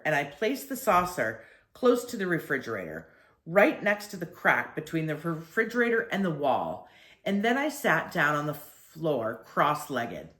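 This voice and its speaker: An older woman reading a children's story aloud expressively, with deliberate phrasing and drawn-out emphasis. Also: deep voice, roomy sound.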